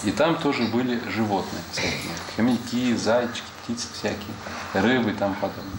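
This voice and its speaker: A man with a fairly low voice, Portuguese by birth, speaks to a class of children in an echoey classroom with plenty of background noise. He explains patiently and keeps asking them questions back. It is a relaxed, unscripted chat. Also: brisk, gravelly. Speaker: former student visiting a class. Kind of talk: casual talk with schoolchildren. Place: school classroom.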